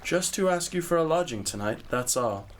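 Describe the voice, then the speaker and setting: An actor playing a naive, impulsive young knight in an audio drama, blunt and guileless. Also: male voice, a little high, expressive, moderate pace, natural voice. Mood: eager, earnest. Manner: blunt, hot-headed, guileless.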